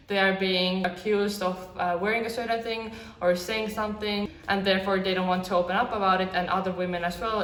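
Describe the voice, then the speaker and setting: A woman's voice: medium pitch, fairly bright tone, a little hiss or hum. A woman from Estonia speaking in an interview, both asking and answering questions. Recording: echoey room.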